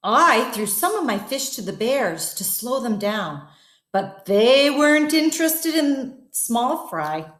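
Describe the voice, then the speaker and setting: A woman with a fairly high voice reads a children's bedtime story at an unhurried pace. She speaks expressively and directly to young listeners. The room sounds noticeably echoey. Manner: addresses children, expressive, asks wondering questions. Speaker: guest bedtime story reader. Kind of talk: read-aloud storytelling for children.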